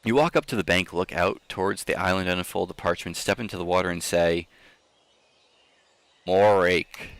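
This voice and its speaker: A man reading a story aloud in a theatrical, expressive manner, with casual asides, like a streamer hosting for an audience. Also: deep voice, fast, few pauses, no echo.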